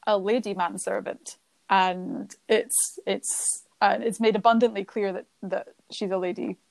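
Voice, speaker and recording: Gravelly woman chatting about theatre. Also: mid-range voice, fairly even intonation, measured pace, no room sound, rough recording. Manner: thinks aloud with many fillers and restarts. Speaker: podcast co-host. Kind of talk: casual chat about theatre.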